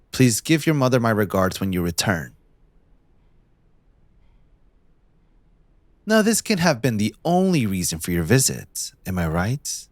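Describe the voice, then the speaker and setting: Low, condescending young mafia heir acted by a man. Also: no room sound. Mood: feigned courtesy. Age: young adult. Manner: condescending, smoothly taunting, theatrically polite. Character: possessive, manipulative young male mafia heir, mocking and menacing. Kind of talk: scripted ASMR audio roleplay addressed to the listener.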